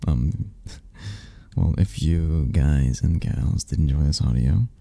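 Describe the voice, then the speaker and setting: This is a male audio creator speaking casually and directly to his listeners. His voice is very dark and mellow, husky, with heavy gravel in it. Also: extremely low pitch, takes it slowly, dry recording. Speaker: ASMR creator, voice actor.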